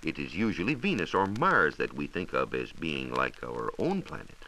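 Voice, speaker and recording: A low-pitched American man with a bright, forceful tone explains science on a 1940s radio transcription disc. His wording is formal and measured, and his pitch moves in lively swings. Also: a little hiss or hum, low-quality recording. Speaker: science reporter on a radio show. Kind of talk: 1940s radio science talk, part scripted answers.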